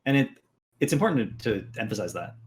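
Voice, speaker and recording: A man on a panel, talking in a measured, explanatory way. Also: mid-range voice, lively intonation, darkish tone, a little hiss or hum. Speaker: panel guest. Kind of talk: casual panel chat.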